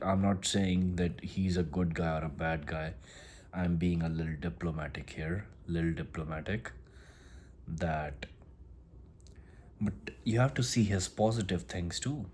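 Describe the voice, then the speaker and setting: Low-voiced guy, english not his first language, rambly motivational talk, little bit of room hum behind. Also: a little mellow. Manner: rambling, repeats and restarts phrases. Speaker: self-improvement vlogger. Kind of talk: casual motivational monologue.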